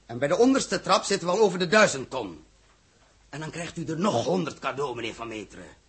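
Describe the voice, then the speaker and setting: An actor playing a blunt, sarcastic space captain in a 1960s Dutch radio play, with a husky voice. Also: male voice, fairly high pitch, animated, fairly quick, fairly bright tone, heavily acted. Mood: sardonic, explanatory. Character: blunt, hot-headed male space captain, sarcastic toward experts. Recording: old 1960s radio drama recording.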